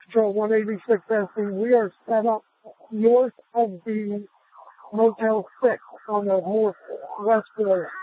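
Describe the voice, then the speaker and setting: A man's voice: high-pitched, flat delivery, unhurried. A man speaking as a police officer, reporting over police radio. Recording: thin narrow police scanner radio.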